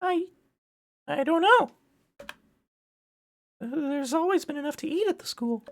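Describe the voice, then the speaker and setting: A woman's voice: high-pitched, lively intonation, unhurried. A woman playing a timid, naive schoolgirl with a polite, hesitant, nervous manner.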